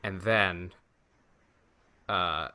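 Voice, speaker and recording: A man's voice: low-pitched, extremely slow, sharp tone. A man co-hosting a podcast, telling stories casually with an enthusiastic, jokey and emphatic manner. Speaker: podcast co-host. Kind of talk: casual storytelling and banter.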